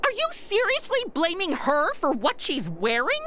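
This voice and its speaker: A woman's voice: extremely high pitch, wildly swooping pitch, moderate pace, sharp tone, a little hiss or hum, full character voice. A woman playing an outspoken, indignant young modern-day influencer in a comedic radio drama, sassy and challenging.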